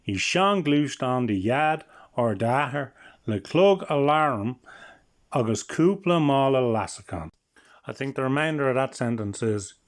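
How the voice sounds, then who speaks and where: Middle-aged guy doing a jokey language lesson, dry humour, chuckles at himself. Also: mid-range voice. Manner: dry, joking, laughs at his own lines. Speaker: channel host teaching Irish. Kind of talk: humorous language lesson vlog.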